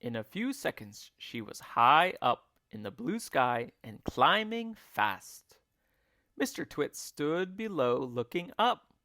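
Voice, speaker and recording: A man narrates a children's storybook with theatrical expression. His pitch moves widely while the pace stays slow and measured. The sound is dry and close. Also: very animated, sharp tone, no echo. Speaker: teacher reading a children's book aloud.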